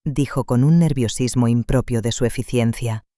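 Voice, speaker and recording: A man reads a first-person story aloud in a calm, measured, reflective voice. His tone is mellow and dark, and the recording is dry, with no room sound around him. He keeps a steady, unhurried storyteller's delivery. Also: medium pitch, dry recording. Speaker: narrator.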